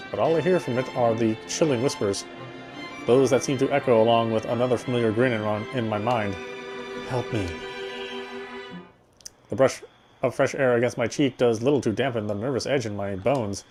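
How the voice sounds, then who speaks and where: A man's voice: medium pitch, moderate pace. Man reading game narration over music. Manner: expressive reading with stumbles over words. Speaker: game streamer. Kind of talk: reading visual novel narration aloud.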